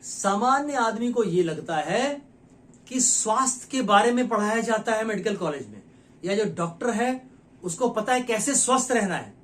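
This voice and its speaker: A man's voice: high voice, very animated, a bit bright. A man from India hosting his own health channel, explaining things earnestly and persuasively to his viewers and often asking them rhetorical questions. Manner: addresses viewers directly, with many rhetorical questions; earnest and persuasive, with short pauses between phrases. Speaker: nutrition educator and channel host. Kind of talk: explanatory talk to camera.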